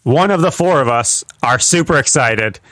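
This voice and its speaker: A man's voice: medium pitch, animated, normal speed, a bit bright. A man co-hosting a podcast, chatting casually and announcing things with emphatic, profane mock hype. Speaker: podcast co-host.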